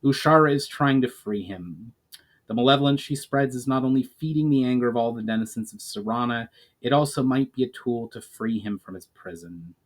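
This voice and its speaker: A man's voice: mid-range voice, fairly quick, lightly acted. A man voicing a grave, knowledgeable elf mage on a quest, speaking formally and earnestly in a storybook reading.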